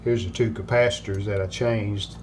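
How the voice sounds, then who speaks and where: Older man, low voice, repair demonstration, halting plain phrasing, noticeable background noise. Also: steady tone, a little mellow. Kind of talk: hands-on repair walkthrough.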